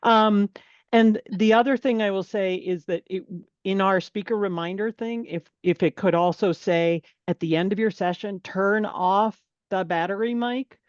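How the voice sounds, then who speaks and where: A woman's voice: mid-range voice, normal speed, clean tone, dry recording. A woman taking part in a video-call Q&A, speaking bluntly and emphatically while telling stories from experience. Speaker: attendee asking during Q&A. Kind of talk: casual remarks in a Q&A. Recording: dull video call audio.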